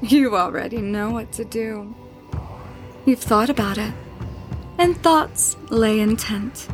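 An actress playing a sinister, seductive tempter, deliberate and coaxing, in a horror audio drama. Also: female voice, fairly high pitch, faint music behind. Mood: menacing, coaxing. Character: sinister, seductive female tempter promising dark power.

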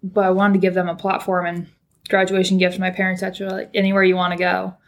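A young woman from California talks casually as a podcast guest, rambling through her story with lots of fillers and self-corrections. Her voice sits low and stays fairly flat. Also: fast. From California, United States. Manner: chatty, rambling, with many fillers and restarts. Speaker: podcast guest, PhD student. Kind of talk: casual interview chat.

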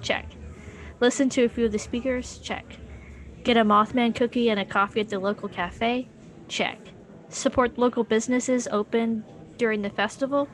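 A woman's voice: a little high, steady tone, moderate pace. A woman narrating a scripted audio documentary in a wry, conversational storytelling manner. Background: music underscore.

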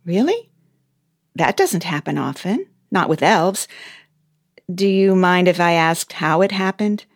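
An actress playing a cheery, brisk, officious female elf who runs personnel at the North Pole, in an audio play. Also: fairly low pitch, no room sound, clean studio sound. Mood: sympathetic, subdued. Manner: chatty, expressive, officious.

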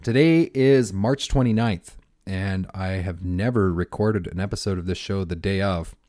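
A man from Canada hosting a podcast in casual, upbeat chat, his voice hoarse and scratchy from dust. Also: low-pitched, normal speed, warm tone, dry recording. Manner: chatty, upbeat, rambling with restarts. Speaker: podcast host.

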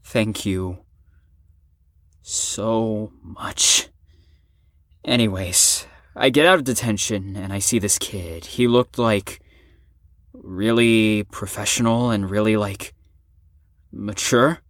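A young man acting a troubled, self-loathing teenage ex-addict in an emotional audio drama monologue, halting and profane. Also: fairly low pitch, a bit bright, dry recording, clean studio sound. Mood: bitter, hurt. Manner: emotional, halting, profane, swings between bitter and impassioned. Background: music under the voice.

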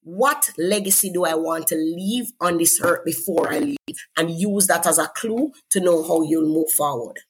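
A woman's voice: lowish voice, expressive, moderate pace, breathy edge. A woman with a Jamaican accent, a guest author talking earnestly about faith and purpose in a preacherly way, often checking in with "right?". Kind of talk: conversational faith interview.

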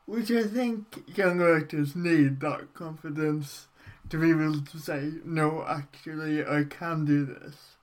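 A man interviewing a guest, asking questions gently and encouragingly. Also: a little high, slow. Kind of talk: casual interview.

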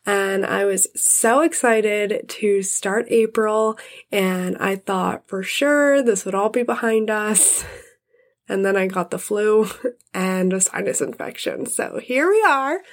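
A woman's voice: medium pitch, takes it slowly. A woman in her thirties hosting her podcast in casual, friendly chat, her voice congested from illness. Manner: friendly, chatty. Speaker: podcast host.

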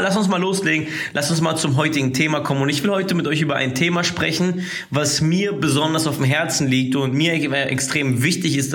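A man's voice: a little high, fairly bright tone. A young man hosting his own podcast, talking loosely in slang with many fillers and restarts, still coughing a little after a cold. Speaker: podcast host. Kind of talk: casual solo podcast monologue.

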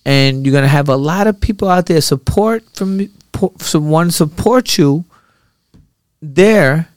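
A man hosting a podcast, telling rambling, profane stories in an animated way. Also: fairly high pitch, unhurried, no room sound, clean studio sound. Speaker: podcast host and boxer. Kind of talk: casual podcast chat.

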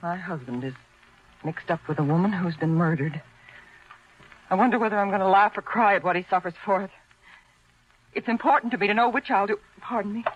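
Actress as a bitter housewife, husky on old radio. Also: female voice, mid-range voice, fairly quick, long pauses, close to an everyday voice. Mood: bitter, composed. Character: bitter, composed wronged housewife. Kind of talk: 1940s radio acting. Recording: muffled vintage 1940s radio recording.

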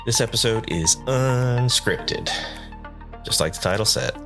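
A man's voice: medium pitch, a little slow, music in the background. A man hosting a solo podcast off-script, rambling casually with self-deprecating asides, sounding tired and a little nasally. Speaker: podcast host.

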